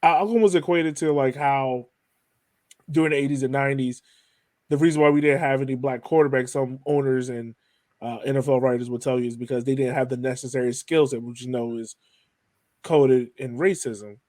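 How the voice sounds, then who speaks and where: A man's voice: mid-range voice, steady tone, fairly quick, darkish tone. American man hosting a casual interview. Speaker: podcast host, interviewer.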